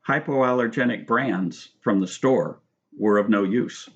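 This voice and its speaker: Middle-aged American male author-narrator, instructive and measured, mid-range voice, slightly lo-fi sound. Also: medium pitch. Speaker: author reading own book. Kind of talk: audiobook-style reading of nonfiction with spoken asides.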